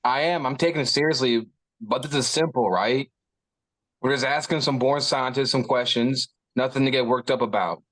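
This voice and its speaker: A man's voice: mid-range voice, moderate pace, a bit bright, dry recording, natural voice, poor audio. A man reading the part of a cocky, goofy stoner gunman who rambles and jokes his way through excuses. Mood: cocky, easygoing. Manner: rambling, joking, breaks into chuckles. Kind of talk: casual table read of a script.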